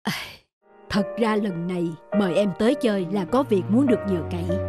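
A woman voicing a scheming, cowardly village man in an animated folktale, theatrical and pleading. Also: medium pitch, a little fast, natural voice. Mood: sly pleading. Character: scheming, cowardly village man betraying his sworn brother. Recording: music behind the dialogue.

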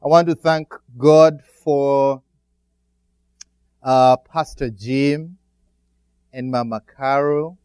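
Clear-voiced Ugandan man preaching. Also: mid-range voice, fairly even intonation, extremely slow, pauses often, darkish tone, dry recording. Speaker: guest pastor preaching. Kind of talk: church greeting and sermon. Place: speaking to a congregation in a church.

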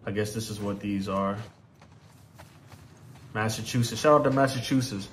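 A man's voice: fairly low pitch, moderate pace, a little hiss or hum. A man from Louisiana, giving laid-back, profane let's-play commentary. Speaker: gaming channel host.

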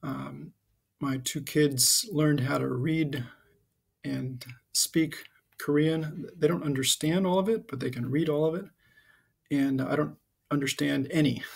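A middle-aged American man, a high school teacher, giving an informal, halting, explanatory introduction to his class over slides. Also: creaky, husky. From United States (Connecticut and Southern California).

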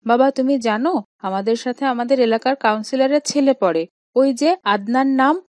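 A woman's voice: high voice, normal speed, darkish tone, no room sound, lightly acted. Woman voicing an earnest little boy, dry recording. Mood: explaining. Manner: childlike, respectful, earnest. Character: polite, earnest little schoolboy talking with his father. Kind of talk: cartoon dialogue acting.

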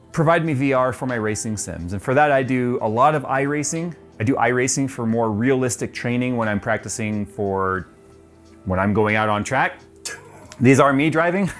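A man in his forties giving a casual tour of his home game room, chatty and loose with plenty of ums, on a lapel mic. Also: medium pitch. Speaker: tech YouTuber.

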